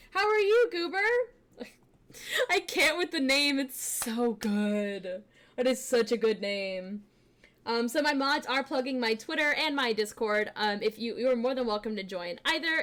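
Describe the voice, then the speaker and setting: A young woman streamer chatting casually with her viewers, bubbly and giggly, with lots of fillers, switching between quiet asides and excited greetings. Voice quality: fairly deep voice for a woman. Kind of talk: casual live-stream chat.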